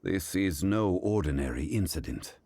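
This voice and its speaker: A dignified, composed gentleman from a video game, with a very deep voice that still moves with lively inflection. He speaks formally and deliberately. A faint hiss sits under the audio. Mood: grave. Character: composed, dignified older male consultant.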